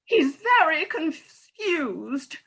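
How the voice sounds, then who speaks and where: Woman doing earnest distressed mum in a stage farce, high bright voice, explaining everything dramatically. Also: big pitch swings, extremely slow, vocal fry, full character voice. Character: distressed unmarried mother, a nurse, pleading earnestly. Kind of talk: comic farce readers theatre.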